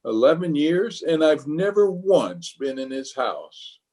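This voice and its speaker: A man's voice: a little high, sing-song, slow, darkish tone. A man playing a pompous middle-aged office boss in old-time radio comedy, stammering and hedging as he blusters. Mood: evasive, flustered. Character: flustered, evasive, pompous middle-aged male water department boss.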